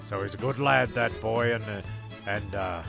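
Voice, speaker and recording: Heavily gravelly man hosting a comedy podcast. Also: lowish voice, normal speed. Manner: mock-serious, stammering, colloquial. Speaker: host and narrator. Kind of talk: rambling comedic podcast narration. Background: music.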